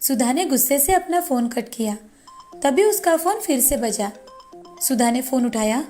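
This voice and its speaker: High-voiced woman storyteller. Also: expressive, measured pace, mellow, soft background music. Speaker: narrator. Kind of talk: scripted children's moral story narration.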